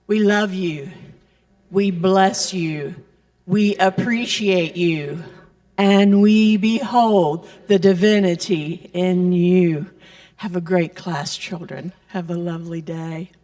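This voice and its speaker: A woman making gentle announcements and blessings during a church service. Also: lowish voice, fairly even intonation. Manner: slow, gentle, blessing-style delivery. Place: church sanctuary. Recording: voice picked up from a distance.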